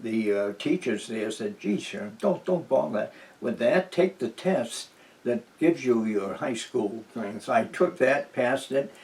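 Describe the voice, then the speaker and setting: A man in his nineties from New Hampshire, speaking very slowly and circling back through restarts, fillers and soft chuckles. He sits a little away from the microphone, so his voice sounds distant. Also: mid-range voice, lively intonation, creaky. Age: 90s. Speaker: interviewee, veteran. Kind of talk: oral history interview. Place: at home.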